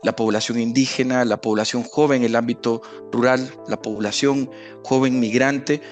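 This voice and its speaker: A man's voice: mid-range voice, fairly even intonation, normal speed, soft background music. A man giving formal, articulate expert analysis in an interview, building emphatic lists.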